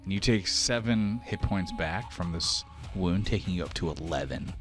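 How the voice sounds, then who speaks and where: A man's voice: low voice, animated, normal speed, music in the background. A man narrating a tabletop roleplaying game as game master, in casual language with some swearing.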